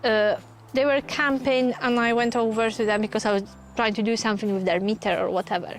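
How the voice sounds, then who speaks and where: A woman's voice: a little high, fairly even intonation, brisk, slight background noise. A woman from Poland with a Polish accent, chatting casually on a live stream from her touring caravan and answering viewer comments. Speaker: channel host.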